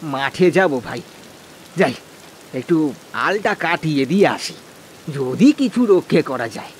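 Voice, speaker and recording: A man's voice: on the high side, lively intonation, a little slow, slight background noise. A man voicing an anxious, stammering village farmer in a cartoon, with rustic speech. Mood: worried. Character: anxious, hard-working village farmer, timid husband. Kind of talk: cartoon voice acting.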